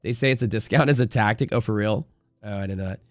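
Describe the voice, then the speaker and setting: A man's voice: lowish voice, fast talker, dry recording, studio quality. A man hosting a livestream in casual, slangy, emphatic conversation, answering viewers and giving his opinions. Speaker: livestream host and trading community leader.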